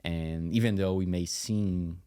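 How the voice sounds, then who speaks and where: A man with a very deep, mellow voice. His intonation stays flat as he explains things conversationally. He talks haltingly, with frequent asides, like a guest in an interview. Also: smooth. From Brazil. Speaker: tech company CEO, interview guest.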